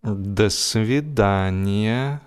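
A man's voice: lowish voice, clear, resonant tone. A man voicing a huge old tomcat, brazen and self-assured, drawing out his words with exaggerated courtesy in a comic audiobook. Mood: smug, polite. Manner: slow, drawn-out, exaggerated politeness.

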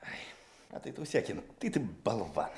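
Whiny young detective in old soviet radio drama, cajoling and blustering, really animated, echoey room. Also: male voice, lowish voice, normal speed. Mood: reproachful.

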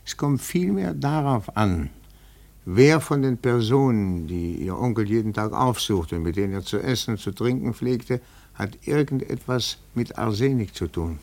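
An actor playing a shrewd, insinuating male investigator in a 1950s German radio play, musing deliberately and asking pointed questions. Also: mid-range voice, animated, darkish tone. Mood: measured, cautious.

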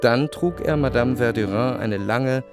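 Measured male narrator over faint music. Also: mid-range voice. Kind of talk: scripted literary narration in radio drama.

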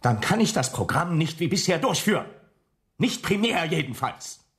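Defensive camp commandant, Nazi officer, husky, animated pitch, stiffly formal, self-justifying, radio play. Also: male voice, normal speed, exaggerated voice. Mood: indignant, defensive. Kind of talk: German radio drama acting.

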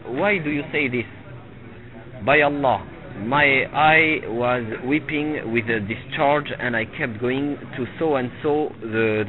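A middle-aged man teaching a religious lesson to a live audience, halting and repeating himself and often checking with "you see?". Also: medium pitch. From Tunisia. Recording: phone-like lo-fi recording with crowd noise. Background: listeners gathered in the room.

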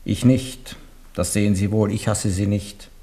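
An actor playing a shrewd, stern old papal legate in a radio play, speaking in a measured, solemn way. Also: male voice, on the low side, steady tone, warm tone. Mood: grave. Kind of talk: 1970s radio play acting.

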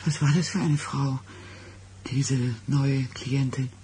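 A woman's voice: very deep voice, fairly even intonation, slow, warm tone. An actress playing a secretive, knowing woman in her late forties in a German radio drama, terse and probing. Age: late 40s. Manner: terse, probing questions, evasive. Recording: radio play with background noise.

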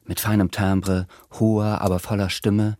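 A man's voice: on the low side, flat delivery, moderate pace, darkish tone, no echo. A man reading scripted literary narration for a radio drama.

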